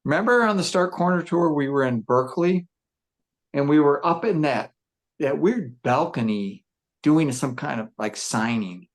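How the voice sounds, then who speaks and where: A man with a gruff voice, a musician chatting casually on a podcast, reflective and rambling with many fillers and restarts. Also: a little high, animated, moderate pace. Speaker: musician discussing his band.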